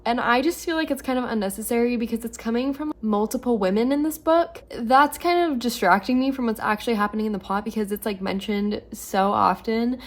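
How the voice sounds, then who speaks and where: A woman in her twenties chatting casually at home about books, a little hoarse from losing her voice and filling her talk with "like". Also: on the high side, fairly quick, hardly any pauses. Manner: chatty, lots of "like" fillers. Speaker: book vlogger. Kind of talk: casual reading vlog.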